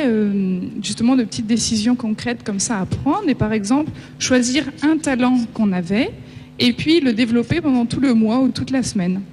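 A woman's voice: on the high side, darkish tone. A woman in her twenties from Paris, with a French accent, giving an informal personal testimony. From Paris, France. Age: 20s. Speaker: young witness giving a testimony. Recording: reverberant hall, faint hum.

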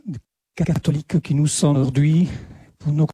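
A man with an Italian accent, a native Italian speaker, giving a talk at a conference in French, halting often and repeating words as he searches for them. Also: fairly high pitch, fairly even intonation, mellow, husky, dry recording. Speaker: lecturer.

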